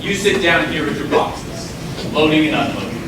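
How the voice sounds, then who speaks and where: A man's voice: fairly high pitch, very animated, moderate pace, distant mic. A man acting in a stage play as a pushy, ambitious small-town schemer, folksy and insistent. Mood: scornful, insistent. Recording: echoey stage recorded from afar, noisy.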